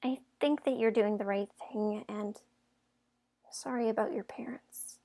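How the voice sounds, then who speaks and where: Woman acting high-voiced, guarded young fairytale heroine. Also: measured pace, dark tone. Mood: teasing, exasperated. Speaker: voice actor in an audio drama. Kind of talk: fairytale audio drama acting.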